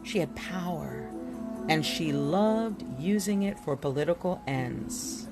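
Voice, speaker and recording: A woman giving an expressive, deliberate reading of an illustrated book as a narrator, with dramatic delivery. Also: lowish voice, vocal fry. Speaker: reader presenting a book chapter. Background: music.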